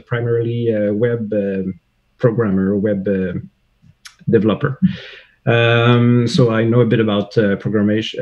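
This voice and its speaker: Guest: Québécois-accented man, French native, halting English, dull video-call sound, mid pitch. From Quebec, Canada. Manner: hesitant, frequent fillers while searching for words. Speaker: guest, hobbyist maker. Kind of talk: casual video-call chat. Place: home room with couch and shelves.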